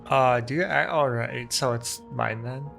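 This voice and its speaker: A woman's voice: rumbling low, measured pace, creaky, fairly bright tone, faint music behind. A woman streaming a game with informal, reactive commentary, muttering and repeating herself. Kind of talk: casual reactive gameplay commentary.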